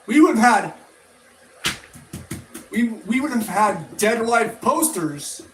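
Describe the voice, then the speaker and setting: A man with a high-pitched voice talks through his opinions in a casual review vlog style. His delivery is slow and halting, with drawn-out words. He circles back to repeat and restart phrases as he rambles. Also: big pitch swings, a bit bright, slight background noise. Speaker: toy and media review vlogger.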